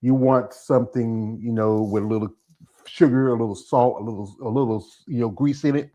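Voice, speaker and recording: A man's voice: medium pitch, steady tone, fairly quick, dark tone, muffled recording. A man hosting an interview, rambling and leaning on "you know". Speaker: interview host. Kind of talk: casual interview chat.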